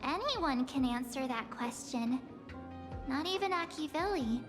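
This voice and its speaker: An earnest young female adventurer in a game. Her voice is extremely high as she explains things, with music clearly behind her. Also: animated, a little slow. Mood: earnest, puzzled. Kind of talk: game voice acting.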